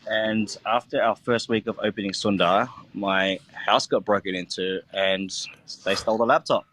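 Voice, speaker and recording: A relaxed Australian man in his thirties talks about his cooking in a loose, meandering way, full of "like" and "you know". His voice sits a little low. He sounds easygoing and unpolished, as in a casual interview. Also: normal speed, slight background noise, rough recording. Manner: relaxed, rambling, many fillers like "like" and "you know". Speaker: chef and restaurant owner.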